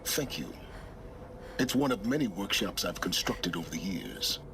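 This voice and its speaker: A calculating middle-aged male scholar in a cinematic video game. His voice sits low, with theatrical, formal phrasing that stays aloof and cryptic. Ambient noise is clearly audible behind him. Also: big pitch swings, moderate pace, full character voice. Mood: cool, calculating. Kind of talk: dramatic video game acting.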